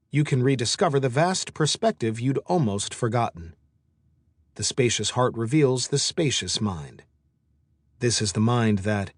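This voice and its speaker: A man narrates a mindfulness audiobook in a middle-pitched voice that moves with expressive rises and falls. His phrasing is measured, with deliberate pauses between thoughts. The recording is close and dry, with no room sound. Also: dry recording. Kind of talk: scripted audiobook narration of a meditation and self-help book.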